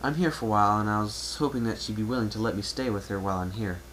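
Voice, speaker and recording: A man's voice: on the low side, quick pace, fairly bright tone, lightly acted. Casting: man reading as young male pony traveler, reserved, courteous, casual, over faint home-recording noise. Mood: polite, hesitant. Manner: polite, hesitant, casual. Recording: noisy amateur home recording.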